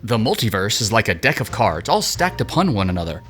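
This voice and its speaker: Guy playing entitled young brat, whiny n cocky, very animated pitch, audio drama w faint music. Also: fairly quick. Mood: sarcastic griping. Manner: sarcastic, petulant, theatrical. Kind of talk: scripted audio drama acting.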